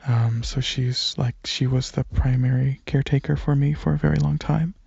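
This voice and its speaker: A young man giving a soft-spoken, casual ASMR ramble, halting and hesitant, often slipping into breathy whispers. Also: mid-range voice, fairly even intonation, no echo, muffled recording. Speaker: ASMR video creator and channel host.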